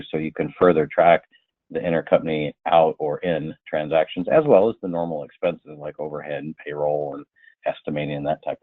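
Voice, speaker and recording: A man presenting a software training webinar, explaining slide by slide in a conversational way with hypothetical examples. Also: rumbling low, breathy edge, dry recording. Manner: explanatory, illustrates with hypothetical quotes. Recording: muffled, tinny phone-like line.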